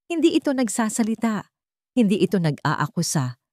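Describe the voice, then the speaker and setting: A woman with a Filipino accent reads a scripted dramatic story in a measured, deliberate way. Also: mid-range voice, very animated, warm tone, dry recording, studio quality. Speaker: narrator.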